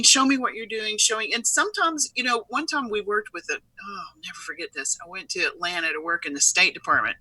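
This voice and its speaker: A woman's voice: medium pitch, pushed and bright, no echo, low-quality recording. A woman speaking as an expert guest in a conversational live interview, earnest and repeating words for emphasis. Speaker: interview guest, software company CEO.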